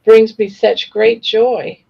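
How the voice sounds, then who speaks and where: A woman in her sixties with a gravelly, crackling voice. She talks in a candid, heartfelt way, like a casual chat among friends. The audio is a little dull and lo-fi. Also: fairly high pitch, little pitch movement, slow. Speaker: host of a live crochet chat panel. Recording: slightly dull, lo-fi livestream audio.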